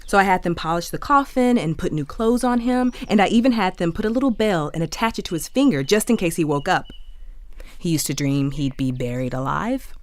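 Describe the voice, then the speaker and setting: A young woman playing a flustered, apologetic, naive young widow in an audio drama, talking in halting, casual modern phrases. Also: lowish voice, expressive, dry recording, natural voice. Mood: chatty, candid. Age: young adult. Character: nervous, chatty, naive very young widow in 1864. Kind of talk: naturalistic audio drama acting.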